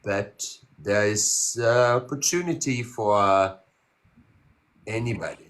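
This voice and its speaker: A man voicing a stern German man, with a slow, mock-formal put-on German accent. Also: fairly low pitch, fairly bright tone. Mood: mock-serious.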